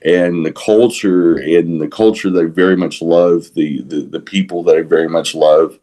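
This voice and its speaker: Deep-voiced Texan man, halting talker. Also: fairly even intonation, warm tone. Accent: Texas. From San Antonio, Texas. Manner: halting, repeating words and rephrasing. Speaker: podcast co-host. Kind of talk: casual opinionated podcast talk.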